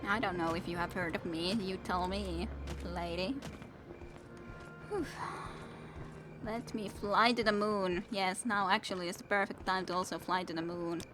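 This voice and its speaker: Young female streamer, non-native English speaker, voice worn from hours of reading, lowish tone, quiet musing chat, game music playing behind. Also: steady tone, unhurried, pauses often. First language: not English. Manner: soft, musing, self-talking. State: tired, voice worn from long reading. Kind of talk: casual gameplay chat with viewers.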